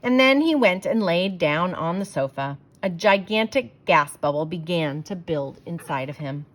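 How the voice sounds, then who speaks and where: Animated woman reading a children's story. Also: lowish voice, normal speed. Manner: animated, emphatic, dramatic phrasing for children. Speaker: storyteller reading a picture book aloud. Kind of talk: expressive children's read-aloud narration.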